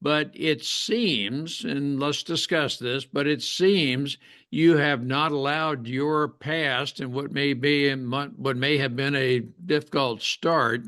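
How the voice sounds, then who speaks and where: A man hosting a podcast interview, asking long, careful questions with frequent pauses and fillers. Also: medium pitch, steady tone, bright, forceful tone. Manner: slow, deliberate questions with many fillers. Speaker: podcast host.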